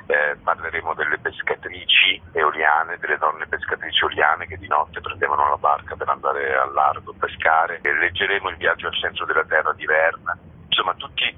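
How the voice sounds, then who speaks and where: A man with an extremely low, husky voice speaks in an informal interview. He explains things expansively, often building lists. The recording is muffled and lo-fi, like radio or phone audio. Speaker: festival co-director being interviewed.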